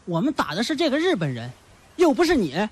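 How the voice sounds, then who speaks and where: An actor playing a hostile, scornful student bully in a radio drama. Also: male voice, very high-pitched, wildly swooping pitch, brisk, husky, full character voice.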